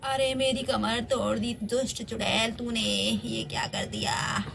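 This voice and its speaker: Storybook granny: woman putting on a cross, grumbling old lady, gravelly and low. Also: fairly even intonation, unhurried, fairly bright tone, a little hiss or hum, exaggerated voice. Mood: groaning. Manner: grumbling, scolding.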